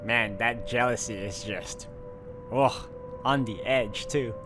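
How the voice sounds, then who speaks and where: A man's voice: mid-range voice, slow pace. Man reading game narration over guitar music. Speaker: video game player and narrator. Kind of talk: reading visual novel narration aloud.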